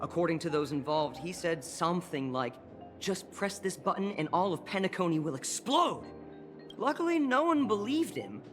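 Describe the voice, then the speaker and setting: Polite, cautious guard over music. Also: female voice, on the low side, big pitch swings, normal speed. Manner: polite, explanatory. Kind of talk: video game voice acting.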